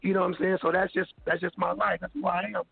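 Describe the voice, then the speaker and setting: A hoarse man talks slangy and relaxed, his voice pitched high with a gravelly, raspy edge. He comes through a dry, tinny phone line. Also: fast talker, no room sound. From Kansas City, Missouri, USA. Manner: laid-back, slangy, laughs often. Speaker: guest rapper. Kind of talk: casual interview chat.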